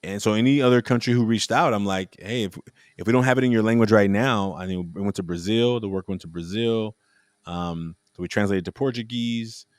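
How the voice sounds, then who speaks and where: A man hosting a casual conversation, telling stories in a rambling, animated way with frequent restarts. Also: fairly low pitch, brisk, dry recording, studio quality. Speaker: podcast host.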